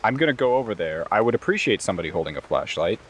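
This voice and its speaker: Deeper voiced guy playing tabletop rpg, informal and joking, little noise behind. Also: big pitch swings, very fast, low-quality recording. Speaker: tabletop game player. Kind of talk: casual in-character game play talk.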